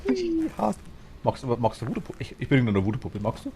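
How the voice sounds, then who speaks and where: A man's voice: mid-range voice, wildly swooping pitch, fast, a crowd in the background. A man with a Bavarian accent chatting casually while streaming a game, rambling and murmuring to himself as he goes. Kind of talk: casual gaming chat.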